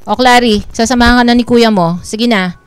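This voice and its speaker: A woman's voice: on the high side, fairly quick, smooth, no echo, close to an everyday voice. A woman playing a bossy, suspicious mother with a Filipino accent in a radio drama, curt and commanding. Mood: brisk. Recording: music bed behind the voice.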